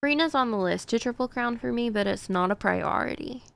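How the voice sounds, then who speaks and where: A woman's voice: fairly high pitch, brisk, creaky, a bit bright, smooth. A woman streaming a game, chatting casually to her viewers in a rambling way with frequent fillers. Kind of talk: casual chat while gaming.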